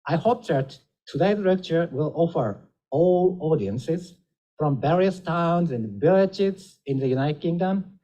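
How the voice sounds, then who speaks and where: A man, an embassy minister, giving a formal read introduction before a lecture, measured and slightly halting. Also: fairly high pitch, lively intonation, mellow, muffled recording.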